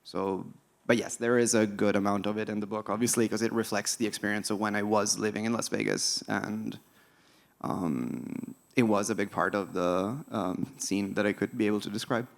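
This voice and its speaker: A man speaking as an author guest at a festival panel, relaxed and thinking aloud with pauses. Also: lowish voice, vocal fry. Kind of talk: panel talk in English.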